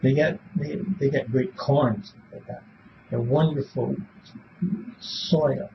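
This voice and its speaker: A man in his seventies answering questions in an interview, speaking casually and haltingly, repeating words and trailing off. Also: mid-range voice, steady tone, creaky. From born in Belfast, Northern Ireland; raised in Illinois from age seven. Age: 70s. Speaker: interviewee in a police polygraph interview. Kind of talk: casual, rambling spoken answers. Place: small police interview room. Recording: muffled, noisy interrogation room recording.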